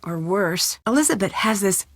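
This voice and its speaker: A woman's voice: mid-range voice, expressive, moderate pace, no room sound, clean studio sound. Earnest young American female detective. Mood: thoughtful. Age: young adult. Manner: earnest, thoughtful, explanatory. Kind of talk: naturalistic video game phone dialogue.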